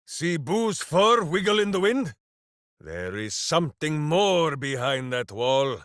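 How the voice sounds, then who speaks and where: A hearty berserker hero for a video game, simple-minded and boastful. He declaims slowly and theatrically, swinging widely in pitch. The sound is close and dry. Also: very animated, a bit bright, no room sound, full character voice. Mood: earnest, fond. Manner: bombastic, theatrical, speaks of himself in third person. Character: hearty, simple-minded, boastful heroic berserker warrior devoted to his hamster. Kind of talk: video game character voice lines.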